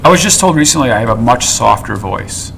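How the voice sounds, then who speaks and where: A man's voice: medium pitch, animated, a little fast, vocal fry, a bit bright, a little hiss or hum. A man hosting a podcast in casual chat, rambling playfully and prompting his guests to tell their stories. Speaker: podcast host.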